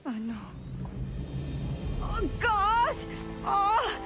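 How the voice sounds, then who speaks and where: Slow, high-voiced, sorrowful young woman. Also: big pitch swings, heavily acted. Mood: anguished. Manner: halting, emotional. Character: weakened young woman, sorrowful, pleading yet resolute. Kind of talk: dramatic video game cutscene acting. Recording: loud music behind the voice.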